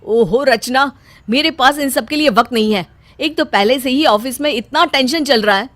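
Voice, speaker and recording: A woman's voice: high voice, normal speed, a bit bright, no room sound, pitched above the performer's own voice. A woman voicing a stressed, irritated young husband in a cartoon story, speaking curtly. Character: adult man, stressed tired young husband.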